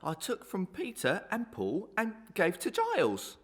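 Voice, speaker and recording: Man as a meek young servant with swooping pitch. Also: huge pitch swings, unhurried, fairly bright tone, breathy edge, heavily acted. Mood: naive, cheeky. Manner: deferential, earnest, naive archaic speech. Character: meek, simple, cheeky young male servant. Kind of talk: Victorian melodrama audio acting.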